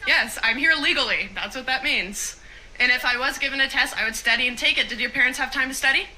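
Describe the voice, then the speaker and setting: A woman from Canada answers back defensively in a debate. Her voice is high and very bright, and sits a little away from the mic. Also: fast, low-quality recording. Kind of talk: street debate.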